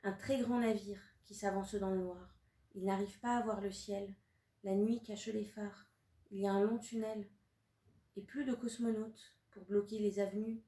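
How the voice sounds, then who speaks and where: A woman in her thirties from Russia, a native Russian speaker, reading her own poetic prose in a measured, deliberate way with long pauses. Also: medium pitch, fairly even intonation, dark tone. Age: 30s. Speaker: author. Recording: roomy sound from a distant mic.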